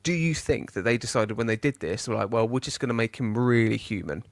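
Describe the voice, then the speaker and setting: A man co-hosting a podcast in casual chat, animated and rising high when he argues. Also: fairly quick, dry recording. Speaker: podcast co-host.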